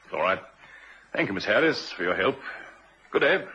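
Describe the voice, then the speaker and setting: An actor playing a shrewd, courteous police inspector in a vintage radio drama, polite and probing. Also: male voice, on the low side, sing-song, moderate pace, pushed and bright, husky, heavily acted. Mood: courteous, casual. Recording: muffled vintage radio recording.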